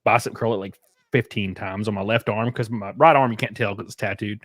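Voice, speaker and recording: A man's voice: lowish voice, fairly even intonation, brisk, fairly bright tone, dry recording, clean studio sound. A man hosting a casual podcast chat, rambling with lots of fillers. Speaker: streamer host.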